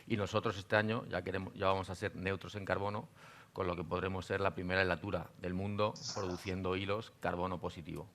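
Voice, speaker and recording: A man speaks as a panel guest in a very low, gravelly voice. His pitch stays flat and even. He talks earnestly and often restarts his phrases. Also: brisk. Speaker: panel guest, company representative. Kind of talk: panel talk.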